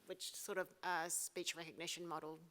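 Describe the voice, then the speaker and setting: A woman's voice: lowish voice, level tone, normal speed, fairly bright tone. A woman answering informally as the technical expert on a panel, explaining how things work. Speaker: panel expert, app developer.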